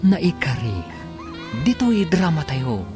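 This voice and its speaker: A man's voice: fairly high pitch, big pitch swings, takes it slowly. A man narrating and announcing a radio drama in scripted, dramatic style. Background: music.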